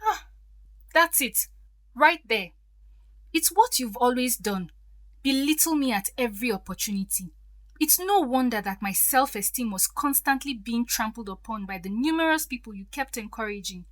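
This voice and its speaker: Woman playing a wounded defiant daughter in her 30s, fairly high voice, close dry recording, pleading, radio drama style. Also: animated, normal speed, no echo, close to an everyday voice. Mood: wounded, angry. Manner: emotional, pleading. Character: hurt, defiant thirty-four-year-old stepdaughter. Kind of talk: audio drama dialogue.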